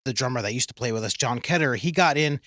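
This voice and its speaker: A man in his forties with a Midwestern American accent, a band's bassist and singer, chatting casually with many fillers and restarts. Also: animated, fast talker, fairly bright tone, no echo, studio quality. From Kansas City area. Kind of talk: casual chat. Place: radio studio.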